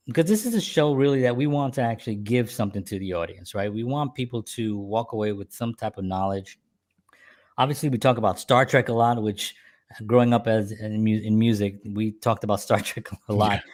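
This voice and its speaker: A man's voice: on the low side, moderate pace, darkish tone. Casual middle-aged man hosting, rambling and jokey. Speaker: show host and musician. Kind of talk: casual reminiscing chat.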